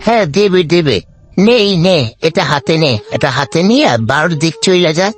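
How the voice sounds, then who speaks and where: A performer voicing a brusque, commanding magical being in a cartoon, repeating orders loudly. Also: medium pitch, expressive, normal speed, faint music behind, lightly acted. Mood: bargaining. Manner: loud, repetitive, commanding. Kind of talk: cartoon story dialogue.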